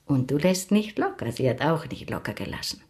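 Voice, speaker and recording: Stubborn old spinster lady for a radio drama, deep for a woman, kinda husky, formal and blunt, bit melancholy. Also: very animated, a little fast, a little mellow, heavily acted. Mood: melancholy, reflective.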